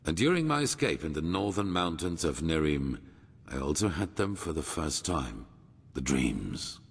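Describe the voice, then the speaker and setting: An actor playing a grave old grandmaster of a holy order in a fantasy game, speaking in a solemn, formal, measured storytelling manner. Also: male voice, very deep voice, big pitch swings, vocal fry, breathy edge, heavily acted. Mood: grave, reflective. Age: older. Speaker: video game character. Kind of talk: dramatic fantasy game dialogue.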